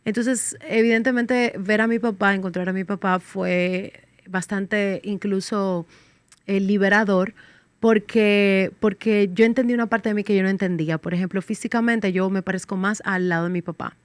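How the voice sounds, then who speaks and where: A woman's voice: mid-range voice, steady tone, normal speed, clean tone, no room sound. A woman from the Dominican Republic, a podcast guest, speaking candidly in casual interview chat, reflective with wry humor.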